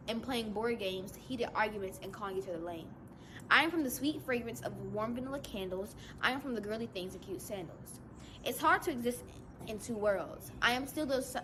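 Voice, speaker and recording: A woman's voice: fairly high pitch, expressive, fairly quick. A young girl student reading her own poem aloud, careful, with small stumbles. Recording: faint background noise.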